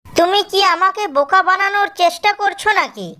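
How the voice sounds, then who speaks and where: High, bright, boastful hungry fox acted out. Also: brisk. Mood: eager, suspicious. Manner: boastful, threatening. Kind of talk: children's cartoon character voice.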